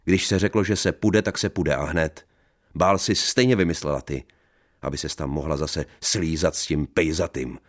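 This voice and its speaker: A man voicing an angry, jealous young husband who shouts and scolds coarsely. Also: very deep voice, very animated, few pauses, slightly rough, heavily acted. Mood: angry scolding.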